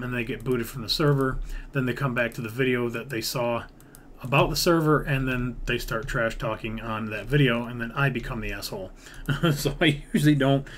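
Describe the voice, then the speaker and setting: A man's voice: mid-range voice, a bit bright. Rambling man over noticeable background noise. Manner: rambling, half-muttering counts, mild swearing. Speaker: gaming video creator narrating his own build series. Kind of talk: casual let's build commentary, thinking aloud. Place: indoors at a computer.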